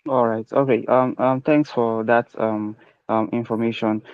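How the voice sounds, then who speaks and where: Man hosting an online Q&A, halting. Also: mid-range voice, flat delivery, clear, resonant tone, dry recording, narrow, tinny audio. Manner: hesitant, many "um" fillers. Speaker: AMA host. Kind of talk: online crypto AMA questioning.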